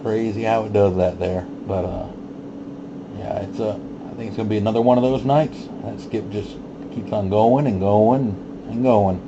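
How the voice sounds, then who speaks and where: A man's voice: on the low side, fairly even intonation, moderate pace. A man talking casually and laid-back in CB radio lingo, as an operator hosting a channel night. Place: home base radio station. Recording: noisy, dull CB radio audio with static hum.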